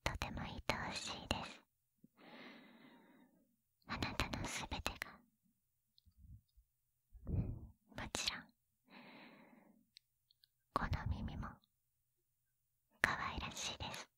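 A woman's voice: bright, forceful tone, no echo. A woman playing a sweet, lovestruck young librarian in an ASMR roleplay, whispering breathily and tenderly, close to a binaural mic. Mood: tender teasing. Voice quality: breathy, mostly unvoiced whisper. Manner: tender, slow, intimate, polite. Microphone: close binaural microphone.

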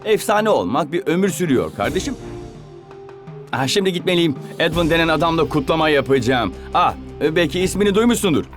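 Eager, high-voiced young footballer. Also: male voice, very animated, brisk. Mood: upbeat. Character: eager, starstruck young male football player. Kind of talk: children's cartoon dub acting. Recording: music behind the voice.

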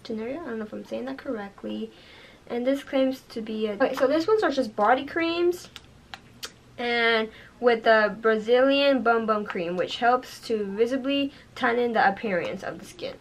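Chatty young woman, a bit hoarse. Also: fairly high pitch, moderate pace. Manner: chatty, lots of "like" and "you guys". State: losing her voice. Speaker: beauty vlogger. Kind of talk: casual unboxing review. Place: bedroom with makeup shelves, indoors.

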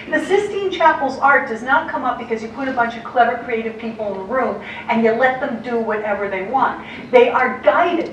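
An American woman art historian giving a lively illustrated lecture to clergy, with quick asides and acted-out quotes, heard from a distance in a large auditorium. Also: fairly high pitch. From United States. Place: large dim auditorium with projector screen. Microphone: distant room mic, speaker at lectern far from camera. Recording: echoey hall from far off, faint hiss.

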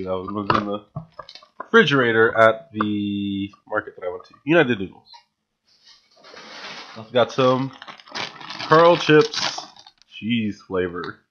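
A man's voice: fairly low pitch, fairly bright tone. Chatty young man, dull lo-fi sound. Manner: chatty, enthusiastic, with frequent filler restarts. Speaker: vlogger. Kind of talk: casual grocery haul vlog. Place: small home room, indoors. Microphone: studio mic on a stand, wearing headphones.

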